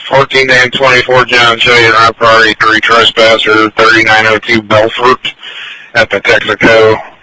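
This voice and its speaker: A man's voice: fairly low pitch, level tone, moderate pace, pushed and bright, low-quality recording. A man exchanging terse police calls over a scanner radio. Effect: police radio transmission.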